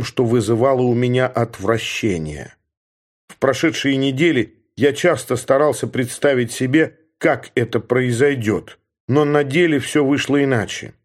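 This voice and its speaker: A man giving a steady, measured first-person narration from a novel, in the manner of an audiobook reader. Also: medium pitch.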